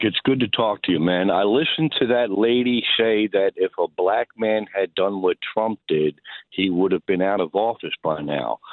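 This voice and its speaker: A man calling a radio show who lays out his point in a measured way. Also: on the low side, no room sound. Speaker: caller. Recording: tinny phone line.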